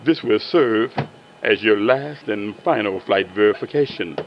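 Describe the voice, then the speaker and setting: A man's voice: fairly low pitch, unhurried. A man making formal, practised in-flight announcements as a flight attendant over a cabin PA. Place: aircraft cabin. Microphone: cabin PA handset. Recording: muffled cabin PA, aircraft noise.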